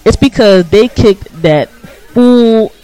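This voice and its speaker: A man's voice: sits high, slow, mellow, clear, resonant tone, faint music behind, poor audio. An American man co-hosting a radio show, giving casual political commentary in an emphatic, colloquial, slangy way.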